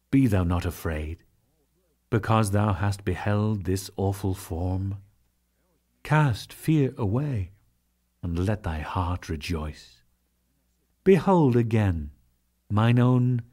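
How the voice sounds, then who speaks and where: Guy doing majestic god voice, deep and slow, solemn, commanding, epic scripture drama. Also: lively intonation, warm tone, heavily acted. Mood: reassuring. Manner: solemn, measured, with weighty pauses. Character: majestic male god, commanding, calm and reassuring.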